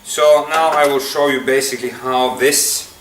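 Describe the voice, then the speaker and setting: A man giving an unscripted product demonstration, explaining step by step in a halting, hesitant way. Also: medium pitch, fairly even intonation. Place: indoor room at a table. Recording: echoey room, distant mic, faint noise.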